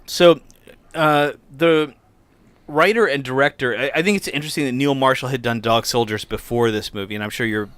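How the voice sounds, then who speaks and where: Crisp, bright-voiced middle-aged American man. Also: medium pitch, fairly quick, dry recording. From United States, near the Appalachian Mountains. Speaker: podcast host and film critic. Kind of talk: casual film discussion.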